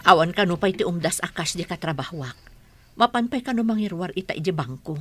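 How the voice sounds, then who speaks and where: Radio-drama stepmother, actress doing a low, breathy, lively schemer. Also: a little fast, faint music behind. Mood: complaining. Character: scheming stepmother, adult woman.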